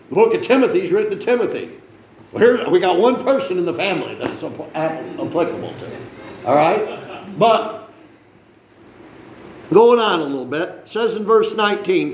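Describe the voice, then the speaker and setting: High-voiced male preacher in an echoey church. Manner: conversational, asks the congregation questions, joking asides. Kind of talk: sermon, Bible reading and teaching.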